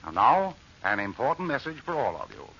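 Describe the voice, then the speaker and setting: Forceful, formal 1940s radio announcer, male. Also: medium pitch, big pitch swings, fairly quick. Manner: formal, declamatory. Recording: muffled, noisy old-time radio recording.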